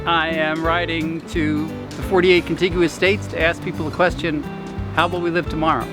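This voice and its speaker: A man's voice: a little high, level tone, normal speed, over music. A man who is a long-distance cyclist, explaining plainly how he travels and meets his hosts. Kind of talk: casual interview.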